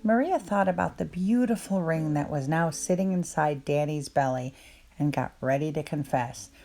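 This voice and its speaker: A woman narrating a children's storybook aloud in an expressive, measured way. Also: low voice. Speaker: children's book reader. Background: plucked guitar music.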